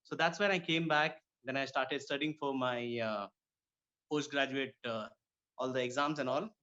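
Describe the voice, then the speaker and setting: A man's voice: mid-range voice, normal speed, pushed and bright. A man from Kuwait speaking conversationally as a dermatologist guest in an interview. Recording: dull lo-fi audio.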